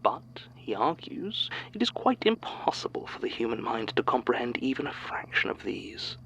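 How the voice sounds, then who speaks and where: A man's voice: medium pitch, very animated, moderate pace, vocal fry, a bit bright, low-quality recording. A man playing a sinister, musing manipulator in an audio drama monologue, theatrical and knowing, with some background noise. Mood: hushed, taunting.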